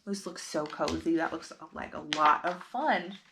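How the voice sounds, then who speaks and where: A woman's voice: deep voice, measured pace, background noise. A woman hosting storytime, reading a picture book aloud expressively and putting simple questions to young listeners.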